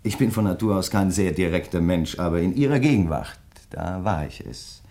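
A very low male voice carries a grieving crime writer in a radio drama. He speaks in measured, reflective phrases, hesitating as he searches for words, and stays courteous while he probes. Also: lively intonation, warm tone. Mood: wistful, reflective. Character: grieving, probing male crime writer, polite but insistent. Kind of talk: German radio play dialogue.

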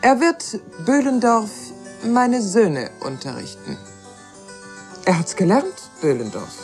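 A commanding noblewoman speaks slowly and deliberately in a radio play. Her pitch moves expressively as she gives orders, with faint music behind her. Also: big pitch swings, darkish tone. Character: imperious, measured lady of the manor. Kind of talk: radio drama dialogue.

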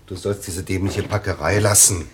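An actor in a German radio drama playing a gruff, defensive former detective who talks in terse, grumbling phrases. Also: male voice, sits low, steady tone, moderate pace, a little hiss or hum, natural voice. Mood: exasperated, defensive.

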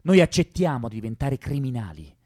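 Man playing passionate young idealist rebel in radio drama; impulsive, earnest, muted tone, very animated intonation. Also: mid-range voice, a little fast, no room sound, clean studio sound, lightly acted. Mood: resolute. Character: idealistic, passionate young male revolutionary who loves life. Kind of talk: theatrical radio drama acting.

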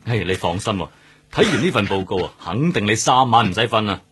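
A man's voice: lowish voice, animated, fairly quick, a bit bright, husky, full character voice. A man with a Hong Kong Cantonese accent acting a chatty, teasing, caring police detective in a radio drama. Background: background music.

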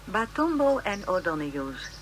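Radio play part: donnish educational-film narrator, pompous, formal, lively melodic delivery. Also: medium pitch, slight background noise. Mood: pompous, lecturing. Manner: measured phrasing, pompous, donnish.

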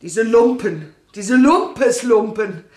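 A roomy radio-play recording of a blustering old whaling captain. She is a hearty woman with a fairly high voice, full of gruff sailor indignation and curses. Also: animated, slow, a little mellow. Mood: indignant. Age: older.

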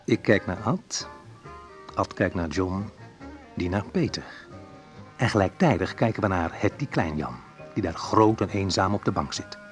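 A wistful man looking back on his awkward youth in a radio play. His voice sits fairly low, and he talks in a hesitant, reflective, conversational way, as if confiding. Music plays faintly behind him. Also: lively intonation, a little slow, long pauses. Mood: tense recollection. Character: wistful man recalling awkward teenage love at dance class. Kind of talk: intimate first-person radio play monologue.

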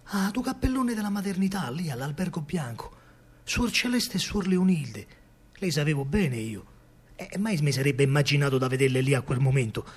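An excitable working-class Roman in a radio drama, recalling wartime scavenging. His husky voice swings widely up and down as he tells it in earthy street speech. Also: fairly high pitch, moderate pace. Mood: excited. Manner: animated storytelling, colloquial. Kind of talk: theatrical monologue in dialect.

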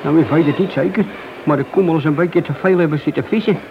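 High-pitched, shifty petty crook, noisy recording. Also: male voice, fast, creaky. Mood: evasive, wheedling. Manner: hesitant, evasive, servile to his handler. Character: shifty, wheedling petty crook and police informer. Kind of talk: radio play acting. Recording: dull, noisy old radio drama recording.

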